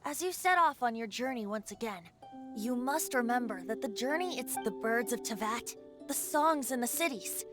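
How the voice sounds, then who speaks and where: A woman voicing a whimsical boyish male bard who is secretly a god, telling things in a measured, theatrical way in a video game. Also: a little high, expressive, lightly acted. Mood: playful, wistful. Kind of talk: video game cutscene voice acting. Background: game music.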